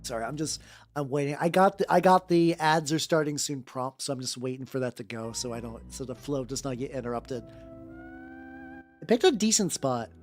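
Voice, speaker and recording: A woman's voice: a bass voice, animated, fairly quick, a little mellow. A woman streamer with a playful, joking manner, chatting casually and reading fanfiction aloud for comic effect. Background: background music.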